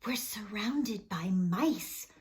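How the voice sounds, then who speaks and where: A woman voicing a sweet, excitable little girl in a children's storybook, with an earnest, expressive delivery. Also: medium pitch, drawn-out words, voice pushed down. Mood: anxious. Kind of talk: children's storybook read-aloud.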